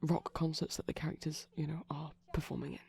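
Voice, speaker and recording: A soft, breathy ASMR voice at a medium pitch, with plenty of creak in it. The speaker rambles and explains like a shy, geeky boyfriend watching a film, often sinking into whispers. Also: level tone, brisk. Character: shy pastel vampire boyfriend, gently geeky. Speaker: ASMR performer, playing a shy boyfriend character. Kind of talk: soft rambling ASMR commentary over a movie. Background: TV playing in the background.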